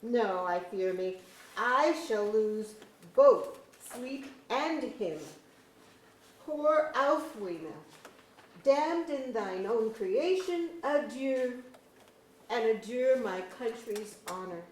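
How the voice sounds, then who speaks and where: Woman as a slow, swooping, grave elf councillor. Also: a little high, lively intonation. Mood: mournful. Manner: deliberate, declamatory archaic verse. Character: grave, mournful elf councillor, wary of war. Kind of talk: staged reading of a verse play. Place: museum auditorium. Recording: echoey auditorium recording.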